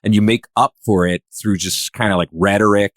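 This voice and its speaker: Male podcast host, very low register, dry studio sound, conversational and a little meandering. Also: fairly even intonation, fairly quick, dry recording. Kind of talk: casual discussion.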